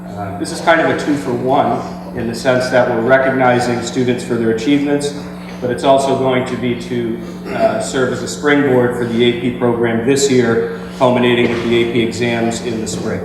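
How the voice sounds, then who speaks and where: A man's voice: mid-range voice, moderate pace. A man hosting a school awards breakfast, giving hesitant opening remarks full of fillers. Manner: hesitant, many fillers, flat delivery. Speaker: event host, school staff. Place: school auditorium. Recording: distant mic in an echoey hall with music.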